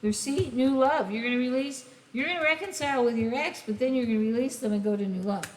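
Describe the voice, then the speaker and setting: A woman giving a casual tarot reading, rambling and speaking straight to the listener, her voice going hoarse. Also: on the high side, fast talker. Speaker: tarot reader. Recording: echoey room, faint hiss.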